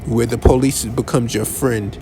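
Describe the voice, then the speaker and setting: Halting, conversational podcast man. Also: medium pitch, steady tone, warm tone, a little hiss or hum. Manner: conversational, halting with restarts and asides. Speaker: podcast host. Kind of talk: casual commentary.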